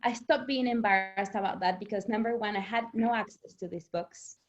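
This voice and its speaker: Lively Argentine-born woman author. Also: mid-range voice, fairly quick, dull audio. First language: Spanish. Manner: animated, laughs often, restarts words. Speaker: author guest. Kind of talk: conversational interview.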